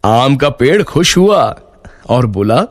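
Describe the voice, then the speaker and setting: A man's voice: medium pitch, very animated, slow pace. A man narrating a scripted children's moral story as its storyteller. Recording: faint music bed.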